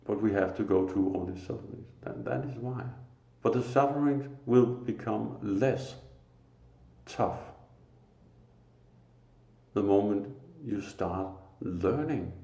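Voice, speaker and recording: A man with a Danish accent giving a slow, earnest, informal spiritual talk, pausing often. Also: fairly low pitch, vocal fry, warm tone. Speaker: lay preacher. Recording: echoey room.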